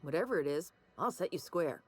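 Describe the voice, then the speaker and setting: A woman's voice: low voice, lively intonation, brisk, breathy edge, soft background music. An actress playing a folksy, no-nonsense woman gun seller in a space frontier video game. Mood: folksy, businesslike. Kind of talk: video game dialogue acting.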